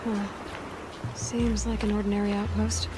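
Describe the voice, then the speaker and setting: A woman's voice: medium pitch, flat delivery, a little slow, background noise, natural voice. An actress playing a young woman hunter in a video game, observant and determined. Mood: focused, wary.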